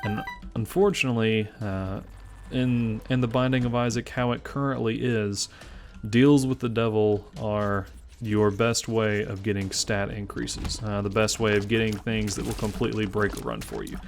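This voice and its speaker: A young man from Tennessee with a soft Southern accent talks through a video game in a loose, rambling, self-deprecating way. His voice sits fairly low and has a gravelly rasp, and game music plays clearly behind him. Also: moderate pace. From Memphis, Tennessee. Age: 20s. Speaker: gaming channel host. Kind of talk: casual gameplay commentary.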